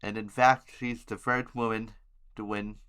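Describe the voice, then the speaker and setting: A man hosting an amateur news podcast, reading headlines haltingly with informal asides and stumbles over words and names. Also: fairly low pitch, flat delivery, a bit bright, clean tone.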